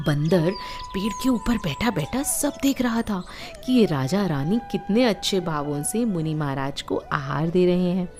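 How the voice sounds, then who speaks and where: A woman with an Indian accent telling a moral story to children in an animated, teacherly way, explaining words and asking listeners questions. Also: fairly low pitch. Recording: music behind the voice.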